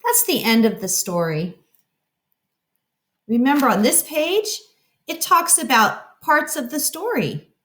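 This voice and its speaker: A woman narrating a children's story as a teacher reading aloud, expressive and explanatory as if addressing children. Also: high voice, takes it slowly, pauses often.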